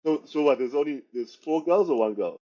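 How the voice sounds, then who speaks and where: A man's voice: fairly high pitch, animated, a little fast, mellow. Laughing male host on a muffled line. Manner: laughs easily, short prompting questions. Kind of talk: casual interview chat. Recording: dull, muffled sound.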